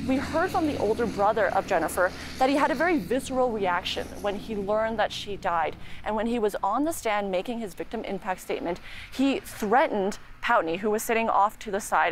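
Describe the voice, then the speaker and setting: A woman with a Canadian accent giving a measured, earnest live news report as a reporter outside a courthouse. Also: mid-range voice. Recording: clear background noise, outdoor ambience.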